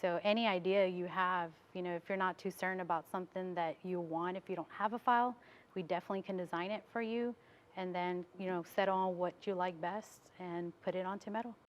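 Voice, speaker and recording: Even-toned, low American woman, slightly muffled. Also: fairly quick. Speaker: interview guest, business owner. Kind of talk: casual interview explanation. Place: TV studio.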